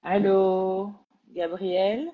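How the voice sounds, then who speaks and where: A woman, congested and nasal from a cold, hosting a live-stream interview in a relaxed, chatty way with many hesitations. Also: mid-range voice, very slow, phone-quality sound. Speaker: interview host.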